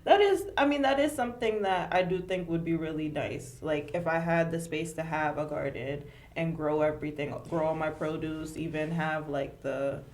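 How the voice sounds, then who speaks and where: A woman's voice: low voice, normal speed, hardly any pauses, a little mellow. A young woman hosting a podcast, chatting candidly and casually with her best friend, cursing now and then. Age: young adult. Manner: candid, casual, swears freely. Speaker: podcast host. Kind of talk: casual chat.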